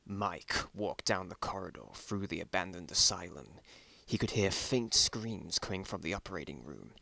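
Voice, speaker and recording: A man's voice: low voice, moderate pace, creaky, pushed and bright. A man reading a story aloud in a mock-dramatic style, amused and breaking off into mocking asides. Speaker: reader of own old story.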